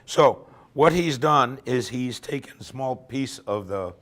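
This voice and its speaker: A man's voice: medium pitch, expressive, unhurried, fairly bright tone. A man giving an informal technical lecture at a workshop while losing his voice, often checking in with "okay?". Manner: conversational, often ends points with "okay?". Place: lecture room.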